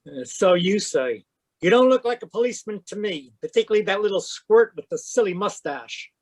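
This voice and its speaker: A man's voice: sits high, animated, a little fast, a little mellow, no echo. A man playing a crusty, irritable older man in a radio drama read live over a video call. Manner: gruff, irritable, suspicious. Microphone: home microphone on a video call.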